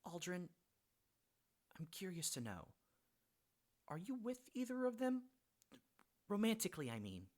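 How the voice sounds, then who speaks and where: A man's voice: high voice, wildly swooping pitch, measured pace, pauses often, a little mellow, exaggerated voice. A man playing a polite, curious young healer in a fantasy audiobook drama, speaking in a slightly formal way. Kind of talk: audiobook drama character acting.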